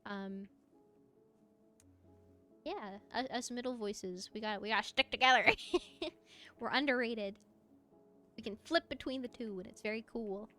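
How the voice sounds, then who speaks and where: A woman chatting casually on a livestream as she plays, answering viewers in an expressive, encouraging, effusive way with a mezzo voice. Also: on the high side, measured pace, pauses often, soft background music. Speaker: VTuber live streamer. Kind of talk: casual livestream chat while gaming, answering viewer comments.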